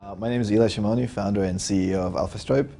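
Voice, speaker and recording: A man's voice: lowish voice, steady tone, very fast, gravelly, dark tone, soft background music. A young man, a startup founder giving earnest interview answers with frequent fillers. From Lebanon.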